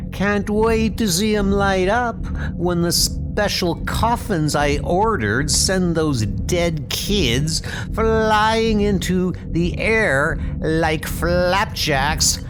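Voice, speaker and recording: A man's voice: fairly high pitch, sing-song, very slow, pitched above the performer's own voice, full character voice. A man voicing a gleeful, cruel clown supervillain in a comic book dub, mocking and theatrical, with music behind him. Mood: gleefully mocking.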